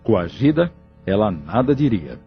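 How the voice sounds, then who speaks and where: A man's voice: fairly low pitch, big pitch swings, measured pace. A man reading scripted, dramatic narration for an audio novel. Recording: muffled audio drama recording with music.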